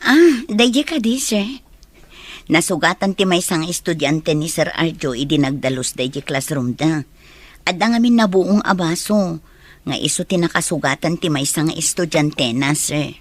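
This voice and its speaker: A woman's voice: sits low, lively intonation, moderate pace, no room sound. A woman with an Ilocano accent playing a polite, gossipy schoolteacher in an audio drama. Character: inquisitive, gossipy female schoolteacher, polite to colleagues.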